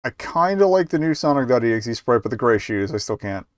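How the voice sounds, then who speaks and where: A man's voice: medium pitch, big pitch swings, fast, a little hiss or hum. A man giving casual live game commentary as a streamer, rambling and hesitant, with muttered asides.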